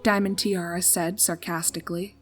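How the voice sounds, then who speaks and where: A woman's voice: fairly low pitch, flat delivery, normal speed. A woman reading a scripted story as narrator in a dramatic storytelling style. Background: background music.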